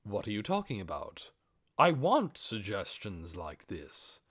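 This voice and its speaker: A man voicing a cautious, gracious undead overlord in an audiobook, speaking in a measured, commanding way. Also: fairly low pitch, huge pitch swings, a bit bright, no echo, clean studio sound, heavily acted. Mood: benevolent. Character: undead overlord with red-spark eyes, cautious, gracious master. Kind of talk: audiobook character acting.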